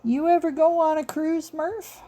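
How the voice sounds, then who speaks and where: A woman's voice: sits high, fairly even intonation, measured pace, mellow, voice pushed up. A woman voicing a lanky, grumbling male police detective with a casual, blunt, slangy manner. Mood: casual, puzzled.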